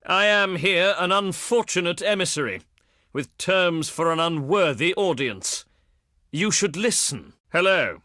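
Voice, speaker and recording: A man's voice: high voice, lively intonation, measured pace, pushed and bright, no room sound, clean studio sound, full character voice. A male actor voicing a haughty, eloquent ancient Greek diplomat in a video game, with formal, declamatory and pompous delivery. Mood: contemptuous, weary. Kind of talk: theatrical game character acting, formal archaic speech.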